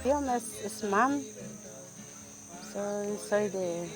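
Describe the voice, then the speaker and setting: A woman from Huehuetenango gives a halting, hesitant testimony with many restarts. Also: medium pitch, animated, over music. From Huehuetenango, Guatemala. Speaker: community resident sharing testimony. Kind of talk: testimony interview.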